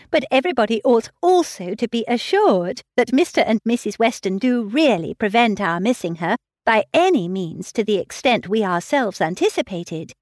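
A woman voicing a bright, eager young lady. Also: on the high side, dry recording, studio quality, pitched above the performer's own voice. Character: lively young woman, cheerful and reassuring.

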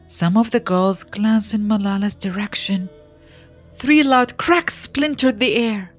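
A woman narrator reading a scripted children's biography in a deliberate, expressive storytelling manner. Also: mid-range voice, soft background music. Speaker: audiobook narrator.

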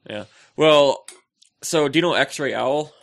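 A man co-hosting a casual podcast, rambling in a joking, animated, mock-indignant way. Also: on the high side, slow, vocal fry. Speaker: podcast co-host.

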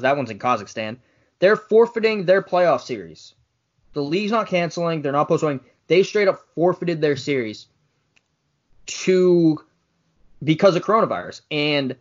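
A man's voice: on the high side, muffled recording. A man co-hosting a casual sports podcast, chatty and wry as he relays news and teases his co-host.

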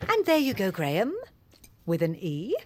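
A woman's voice: medium pitch, sing-song, normal speed, a little mellow. An actress playing a fussy, chirpy middle-aged British mother welcoming guests in an audio drama, with a sing-song, polite delivery. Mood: brisk, hospitable. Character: chirpy fussy middle-class mother hosting her grown daughter.

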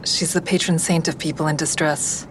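An actress plays a crisis-line woman in an audio drama, her voice pitched low. She is measured and coaxing with callers, dryly frank when narrating her thoughts. A clear rumbling noise runs underneath. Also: fairly even intonation, lightly acted. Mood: calm, coaxing. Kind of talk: full-cast audio drama, first-person narration and dialogue.

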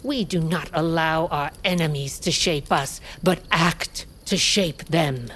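Low-voiced, commanding warrior queen. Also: fairly bright tone, full character voice. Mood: menacing, proud. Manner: imperious, measured. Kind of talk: fantasy audio drama.

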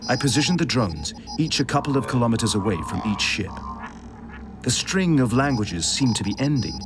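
An actor playing a vengeful artificial intelligence in a vast spaceship, narrating an audio drama in a brooding, deliberate, intense way. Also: male voice, medium pitch, lively intonation, over music, natural voice. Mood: wary, detached.

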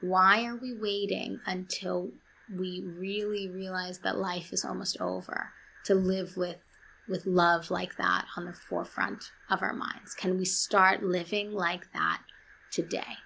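A woman speaking as a guest therapist in an interview, calm-voiced and earnest, often restarting and quoting books. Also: lowish voice, unhurried, creaky, husky, poor audio. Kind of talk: reflective interview talk.

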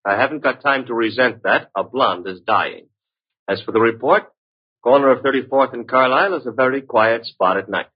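Husky, wisecracking radio detective's sidekick. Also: male voice, mid-range voice, lively intonation, moderate pace. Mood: wry. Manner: breezy, wry banter. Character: wisecracking, flirtatious male private detective's assistant. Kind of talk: old-time radio detective acting. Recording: dull, muffled vintage radio recording.

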